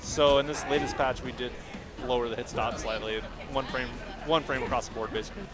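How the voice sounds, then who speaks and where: Laid back game dev guy chatting in a stream interview, music clearly playing behind him. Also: medium pitch, steady tone, fairly quick. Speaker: video game developer being interviewed. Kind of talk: casual interview chat on a livestream. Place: gaming event venue.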